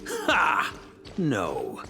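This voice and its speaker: A man's voice: on the high side, huge pitch swings, extremely slow, vocal fry, over music, heavily acted. A male actor playing a bold, defiant veteran knight in a video game cutscene, with a dramatic, rousing delivery. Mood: rousing defiance.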